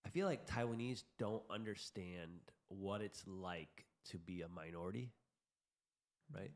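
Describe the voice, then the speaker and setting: Guy with a lowish voice rambling and joking on a podcast, echoey room. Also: measured pace. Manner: rambling, opinionated, laughs at himself. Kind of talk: casual podcast chat. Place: room in Taiwan. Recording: roomy echo.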